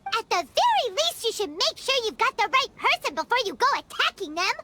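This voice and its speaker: A woman voicing a small, excitable female fairy companion in a game, with a very high, squeaky voice. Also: a little fast. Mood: indignant. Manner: excitable, indignant, chatty. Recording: game audio with loud music behind. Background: game music.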